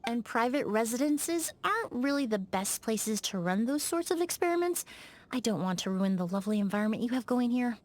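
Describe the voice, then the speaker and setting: Woman voicing poised, high-voiced young perfumer. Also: expressive, close to an everyday voice. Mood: thoughtful, precise. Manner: measured, articulate, politely blunt. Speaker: game character voice actor. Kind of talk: scripted video game dialogue.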